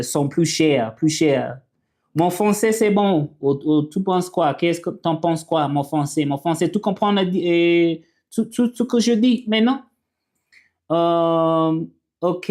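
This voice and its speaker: A man whose first language is English, hosting a casual livestream and reading viewer comments, talking haltingly with many fillers and restarts. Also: on the high side, darkish tone. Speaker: livestream host.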